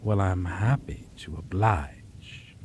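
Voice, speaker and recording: Ancient male vampire, played by a man for ASMR roleplay: lowish, extremely slow, predatory and courtly, breathy theatrical phrasing, rain and thunder behind. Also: noisy background. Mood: playful, menacing.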